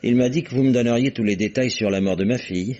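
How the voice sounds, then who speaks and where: A cynical, arrogant father, voiced by an actor in a vintage radio play, speaking in an abrupt, sardonic way at a middle pitch. The recording is muffled and dull, like old broadcast tape. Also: fast, dark tone, natural voice. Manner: sardonic, abrupt, self-assured. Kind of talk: 1960s French radio drama acting.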